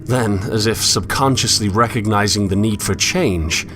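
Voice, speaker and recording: A man acting as a melancholy wanderer narrates a video game in a lowish voice. His delivery is measured and reflective, like someone reciting literary prose about his inner life. Music plays clearly behind him. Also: natural voice. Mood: philosophical. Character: melancholy, introspective male narrator, philosophical wanderer. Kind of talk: scripted poetic game narration.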